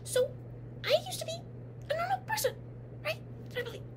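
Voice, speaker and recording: Young woman doing a bratty jealous vampire girl, super high voice, snippy and dramatic, noisy webcam audio. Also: animated, brisk. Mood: scolding. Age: young adult. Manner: blunt, sarcastic, dramatic, with whispered asides. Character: haughty jealous female teen vampire. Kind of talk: comedic roleplay to the listener. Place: dim bedroom, indoors. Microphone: webcam at close range.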